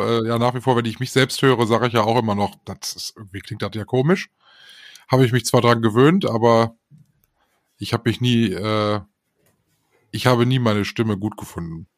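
A man's voice: mid-range voice, fairly even intonation, moderate pace. A man co-hosting a podcast, a former radio presenter chatting casually with dry, understated humor. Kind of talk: casual chat.

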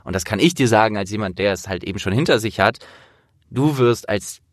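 A man hosts a casual interview. He talks in a chatty, animated way, and the sound is dry and close. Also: lowish voice, fairly quick, no room sound. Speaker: interviewer and host, trained actor.

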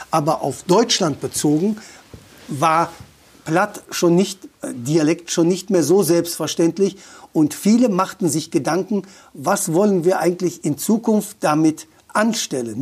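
A man's voice: a little high, darkish tone, slightly rough. A man talking as a guest about dialect, thoughtful and opinionated, often restarting his sentences. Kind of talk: conversational explanation.

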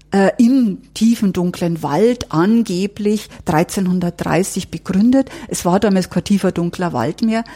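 A woman's voice: on the low side, dark tone, husky. A woman, speaking as a curator in a conversational interview and explaining with rhetorical questions. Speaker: exhibition curator and historian. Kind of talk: conversational interview, guiding through an exhibition.

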